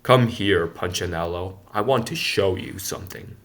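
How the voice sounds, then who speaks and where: A fatherly woodcarver from a storybook, played by a man reading aloud. His voice is low, calm and kind. He sets out each phrase slowly and deliberately, with the tender patience of a wise maker. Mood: kindly.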